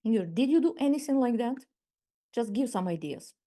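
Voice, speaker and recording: A woman's voice: a little high, animated, mellow. Ukrainian-accented woman speaking on a webinar. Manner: measured, with frequent restarts and repeated words. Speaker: masterclass speaker. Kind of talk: webinar talk.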